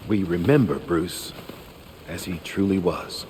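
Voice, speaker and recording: An actor playing a grieving, dignified uncle in a video game, delivering a measured, heartfelt eulogy. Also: male voice, low voice, a little mellow. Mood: mournful, proud. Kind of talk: video game cinematic acting. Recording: faint rain and background noise.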